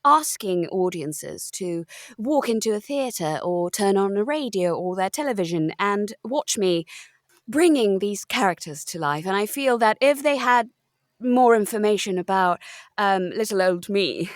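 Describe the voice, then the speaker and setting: A woman playing a falsely modest, self-important older actress, coy and halting in a mock interview. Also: mid-range voice, lively intonation, dry recording, clean studio sound. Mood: coy, earnest.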